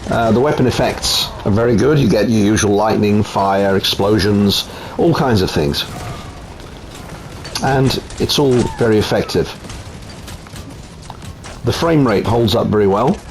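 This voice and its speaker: A man's voice: fairly low pitch, measured pace, pauses often, a little hiss or hum, low-quality recording. Italian-accented man reviewing a game. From Italy. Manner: relaxed, chatty, frequent ums. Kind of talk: casual gameplay commentary. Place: home.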